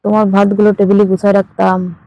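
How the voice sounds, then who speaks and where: A woman's voice: medium pitch, monotone, quick pace, dry recording, dull audio, lightly acted. A woman playing a teasing, suggestive lover who misses her faraway partner, chatting intimately as if on a late-night call. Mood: flirty, suggestive.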